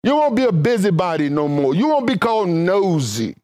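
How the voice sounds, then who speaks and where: A man's voice: high voice, very animated, normal speed, a little mellow, no room sound, studio quality. A man preaching a sermon with a fervent, rising and falling cadence and casual, conversational phrasing.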